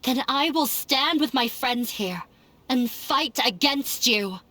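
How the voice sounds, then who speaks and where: A woman in a game, speaking slowly and resolutely with defiance. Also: high voice, animated, bright, forceful tone. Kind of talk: voiced game dialogue.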